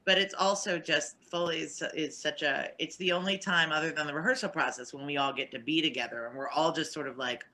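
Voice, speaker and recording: Female actor in casual panel chat. Extremely bright, sharp tone, demonstrative storytelling. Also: sits low, fairly quick, few pauses.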